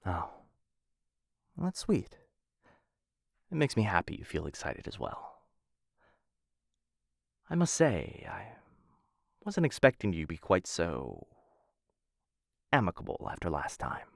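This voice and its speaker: Man acting a low, creaky-voiced courtly assassin suitor. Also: wildly swooping pitch, slow, pauses often, no room sound, studio quality. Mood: bashful, sincere. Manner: formal, courtly phrasing with hesitant softer asides. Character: smitten male assassin of noble house, suave, courtly, playful. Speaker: voice actor in a boyfriend roleplay audio. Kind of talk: ASMR roleplay acting. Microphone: close studio-style mic.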